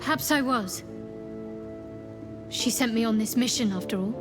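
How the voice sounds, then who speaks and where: A woman acts out a secretive young cleric of a dark goddess in a video game cutscene. Her voice sits fairly high, and she speaks with measured reserve and dry wit. Music plays clearly behind her. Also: close to an everyday voice. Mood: wistful. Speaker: video game companion character.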